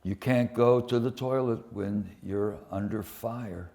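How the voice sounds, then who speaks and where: A man reads poetry to a live gathering at a very unhurried pace. His phrasing is deliberate and dramatic, with weighty pauses, and he shifts into informal introductions in the same measured way. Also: fairly low pitch, dark tone. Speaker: poetry reader and presenter. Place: public poetry reading at a museum.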